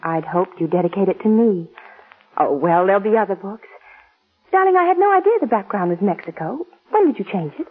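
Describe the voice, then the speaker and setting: An actress playing a possessive, jealous young wife in an intense, melodramatic 1940s radio drama style. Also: female voice, mid-range voice, lively intonation, a little fast, slightly rough. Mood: wounded, pleading. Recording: muffled, scratchy 1940s radio broadcast.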